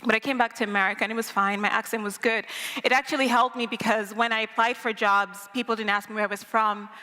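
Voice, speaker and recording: A woman's voice: fairly high pitch, fairly even intonation, fast, bright, forceful tone. A young woman from Nigeria telling a personal story live on stage, in a wry, conversational manner. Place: old church used as a theater stage. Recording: reverberant church hall, live.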